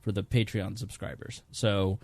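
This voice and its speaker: A man's voice: fairly low pitch, little pitch movement, moderate pace, vocal fry, darkish tone, no echo. A man hosting a podcast in a home studio, talking casually on a studio mic and rambling through technical details with a self-deprecating tone. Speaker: podcast host. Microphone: studio dynamic microphone.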